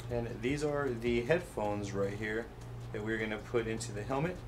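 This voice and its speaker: A man's voice: fairly low pitch, normal speed, noisy background. A man hosting a casual hands-on how-to video, explaining things step by step as he handles them. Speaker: host of a how-to product video. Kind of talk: casual hands-on tutorial narration.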